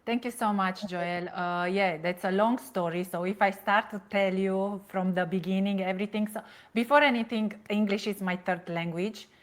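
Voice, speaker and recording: A woman from Transylvania with a Romanian accent, a podcast guest speaking English as her third language, pausing often to find her words. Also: mid-range voice, fairly even intonation. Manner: searching for words, many pauses and fillers. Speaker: guest, relationship coach. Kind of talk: podcast interview.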